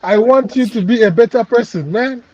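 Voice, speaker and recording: A man's voice: very high voice, normal speed, darkish tone, lo-fi sound. A man co-hosting a casual livestream chat, playful and giggling with mock earnestness.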